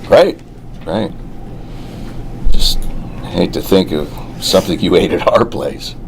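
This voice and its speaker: A glib middle-aged family man, chatty, kinda halting, voice swings around, noisy room. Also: deep voice, animated, creaky, exaggerated voice. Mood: solicitous, probing. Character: outwardly cheery, glib middle-aged brother-in-law hiding guilt. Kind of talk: acted table read of a TV drama script.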